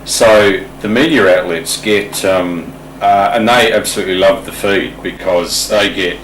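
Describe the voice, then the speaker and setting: Low-voiced man in an echoey room. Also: a little slow, fairly bright tone. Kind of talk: informal explanatory talk. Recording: echoey room, distant mic, faint noise.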